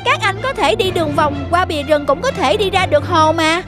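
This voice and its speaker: A very high, earnest little cartoon field mouse, acted by a woman, makes its case politely and pleadingly. Music plays behind the voice. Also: lively intonation, a little fast. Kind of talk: cartoon dialogue acting.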